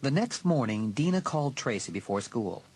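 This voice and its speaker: A man narrates a suspense audiobook with dramatic flair. His mid-pitched voice is husky and moves with very lively intonation. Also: moderate pace. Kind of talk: dramatic scripted narration of a teen thriller.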